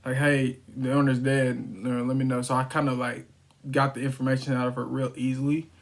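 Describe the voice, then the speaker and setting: Even-toned man making casual sales calls. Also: medium pitch, a little fast. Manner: informal, explanatory. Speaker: real estate wholesaler making cold calls.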